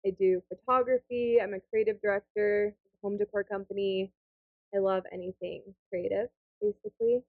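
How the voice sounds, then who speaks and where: A woman's voice: mid-range voice, fairly even intonation, moderate pace, dry recording. Brief: twenties woman co-host, gushing and upbeat, thin phone-like recording, very dry. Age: 20s. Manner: upbeat, gushing, warm compliments. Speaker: podcast co-host. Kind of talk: casual chat.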